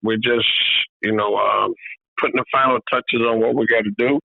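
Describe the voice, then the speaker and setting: A man's voice: medium pitch, moderate pace, vocal fry, no echo. Man on a tinny video call. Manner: relaxed, repeats "you know" often. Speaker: boxing trainer, interview guest. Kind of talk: casual remote interview. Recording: tinny phone-like call audio.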